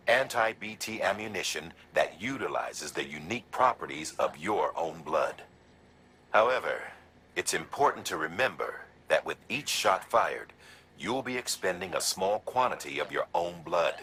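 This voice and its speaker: An actor playing a stern, measured male mission commander giving formal, deliberate briefings in a video game. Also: sits low, animated, sharp tone, slight background noise, heavily acted. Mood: measured, instructive.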